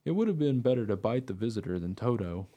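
A man's voice: on the low side, very animated, fast talker, dark tone. A man playing an eager, naive mongrel dog who tells his own story in a radio play. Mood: rueful. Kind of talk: first-person radio play narration.

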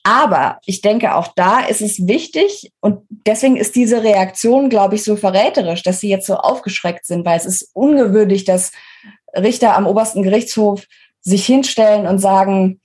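An articulate woman is talking as a guest in an interview. Her voice sits in a middle register and has a husky edge. She explains political matters in a conversational, analytical way. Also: mid-range voice, moderate pace, darkish tone.

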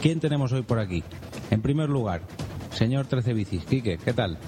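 A man's voice: mid-range voice, animated, normal speed. A man from hosting a casual podcast and introducing his companions. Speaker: podcast host. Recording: music behind the voice. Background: music.

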